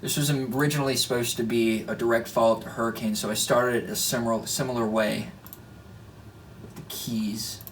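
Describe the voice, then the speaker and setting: A man in his twenties talking casually and reflectively, explaining his work in a halting way, recorded in a small home room. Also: mid-range voice, level tone, slight background noise. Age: 20s. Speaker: musician and host breaking down own song. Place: small home studio bedroom indoors.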